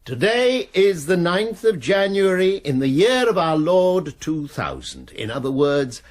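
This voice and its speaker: An actor playing a genial, formal, donnish middle-aged master of an English college in a radio drama, with an English accent and a husky voice. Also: male voice, fairly high pitch, wildly swooping pitch, unhurried. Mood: formal, pleased. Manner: formal, urbane, expository.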